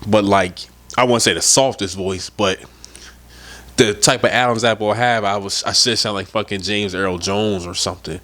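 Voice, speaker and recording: A young man talks loosely on his own podcast, rambling and swearing as he goes. His voice sits a bit low and sounds slightly stuffed up from a cold. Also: steady tone, moderate pace, a bit bright. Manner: rambling, profane, laid-back. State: stuffy nose from a head cold. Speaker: podcast host. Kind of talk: casual solo podcast talk.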